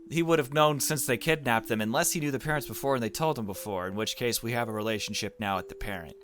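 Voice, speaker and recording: A man's voice: mid-range voice, fast, a bit bright, faint music behind. A man playing a visual novel, giving casual commentary and reading the text aloud. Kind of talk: let's play commentary mixed with reading dialogue aloud.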